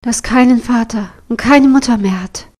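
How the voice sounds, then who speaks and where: A woman's voice: fairly high pitch, moderate pace, darkish tone, close to an everyday voice. A woman voicing a humble, poor young orphaned kitchen maid in a fairy tale reading. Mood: meek, humble. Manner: meek, self-effacing.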